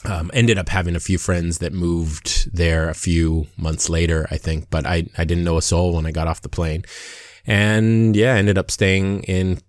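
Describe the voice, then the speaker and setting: A man in his forties with an American accent and a low-pitched voice, recorded dry and close. He talks informally as a podcast host, breaking off and picking his sentences back up. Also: little pitch movement, measured pace, dry recording. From Hawaii, United States. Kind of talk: casual conversational podcast talk.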